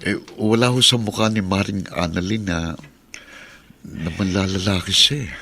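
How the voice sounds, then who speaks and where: A man's voice: low-pitched, moderate pace, sharp tone, a little hiss or hum. A man playing a concerned, respectful family friend in a radio drama, speaking politely and conversationally. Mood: puzzled. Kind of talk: Tagalog radio drama acting.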